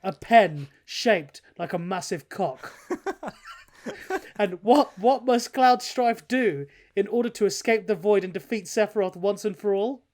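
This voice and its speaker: A British man hosting a casual podcast, chatting in an animated, sweary, bantering way. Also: high-pitched, a little slow. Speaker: podcast host. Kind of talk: casual chat.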